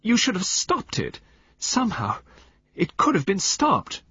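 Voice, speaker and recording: A man's voice: mid-range voice, big pitch swings, normal speed, husky, no room sound, voice pushed up. A man voicing a distressed, impulsive young Englishwoman who pleads urgently. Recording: dull lo-fi recording.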